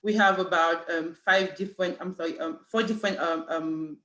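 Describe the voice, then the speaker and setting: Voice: mid-range voice, steady tone, normal speed, bright, forceful tone. A speaker from Cameroon with a French accent, a city human rights official giving an informational panel talk and leaning heavily on "you know" fillers and "right?" tags. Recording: echoey room.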